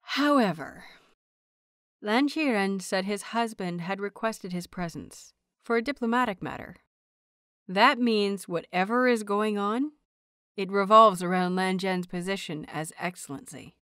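A woman reading a story aloud as an audiobook narrator, in expressive scripted narration. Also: mid-range voice, a little slow, no echo, clean studio sound. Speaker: audiobook narrator of fan fiction.

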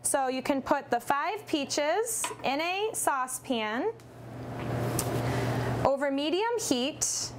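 A woman's voice: high voice, background noise. A young woman presenting a cooking demonstration in a large studio kitchen, explaining each step steadily with a few ums. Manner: instructive, steady, with occasional ums. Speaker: nutrition segment presenter.

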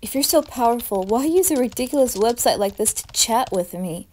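A woman narrating a first-person horror story with dramatic, anguished intensity and a breathy delivery. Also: on the high side, animated, fairly quick, a little mellow.

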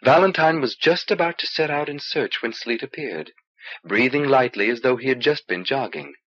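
A man with a husky voice narrating a fantasy novel in an expressive, measured storytelling delivery, on an old cassette transfer. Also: medium pitch, highs cut off. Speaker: audiobook reader.

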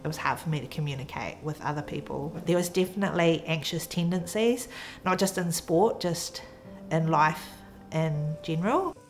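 A woman in her twenties with a New Zealand accent, reflecting quietly in a documentary interview. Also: low-pitched, moderate pace. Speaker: athlete. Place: indoor room with framed photos. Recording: music underneath.

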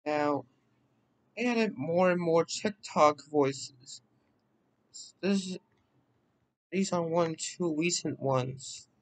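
A man presenting a software demo in a casual walkthrough, pausing haltingly between phrases. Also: fairly high pitch, low-quality recording. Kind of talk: casual screen-recording walkthrough. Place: voice-over on a screen recording.

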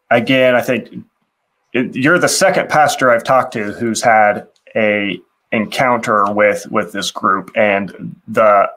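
A man's voice: fairly low pitch, fairly bright tone. Supportive male interviewer on a call. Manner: supportive, thoughtful, with frequent fillers. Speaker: host, interviewer. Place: studio with dark backdrop.

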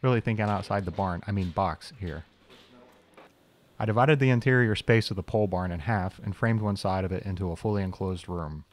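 Low-voiced man narrating with dry wit. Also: brisk. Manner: dry, wry humor with self-deprecating asides. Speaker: channel host, machinist. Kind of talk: scripted voice-over shop tour.

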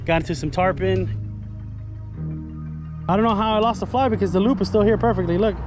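A man in his thirties narrates his fly-fishing trip on an action camera at the edge of a lake, explaining each cast as he goes. His voice sits a little high, and it lifts with excitement whenever fish break the surface. Faint music plays underneath. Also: fairly quick. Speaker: fishing vlogger. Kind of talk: casual vlog narration. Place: outdoors by a lake.

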